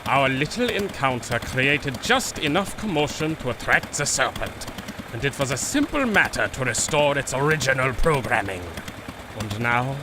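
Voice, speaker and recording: A man's voice: medium pitch, very animated, moderate pace, noisy background, lightly acted. A man playing a grandiose, vengeful baron, theatrical and menacing, in a pulp adventure drama. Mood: gloating menace.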